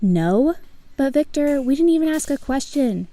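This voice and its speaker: A woman's voice: sits high, lively intonation, normal speed, over a noisy crowd. A woman voicing a perky, bossy young female student in a cartoon, chatty and teasing. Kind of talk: animated comic dub acting.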